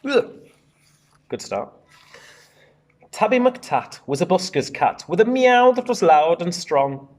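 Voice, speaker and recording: A man, a primary teacher, reading a storybook aloud in an expressive sing-song way with chatty asides. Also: high voice, slow, pauses often. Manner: animated, sing-song rhyming read-aloud.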